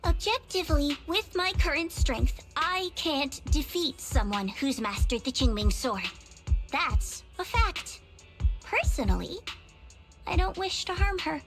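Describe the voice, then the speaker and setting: A woman playing a dutiful young agent in a game, formal, matter-of-fact and quietly stubborn. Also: high voice, very animated, unhurried, music in the background, full character voice. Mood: resolute, contrite. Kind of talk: dramatic game cutscene acting.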